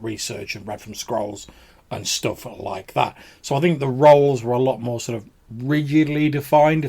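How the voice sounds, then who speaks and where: A man's voice: on the low side, creaky, husky. A man hosting a tabletop gaming podcast, answering listener voicemails in a rambling, casual way full of "sort of" and "like". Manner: rambling, many fillers like "sort of". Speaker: podcast host.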